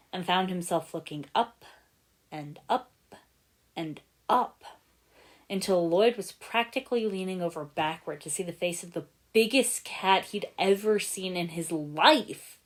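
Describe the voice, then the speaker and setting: A woman narrating a story from a script in an expressive storytelling style. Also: fairly low pitch, measured pace. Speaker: fan fiction narrator.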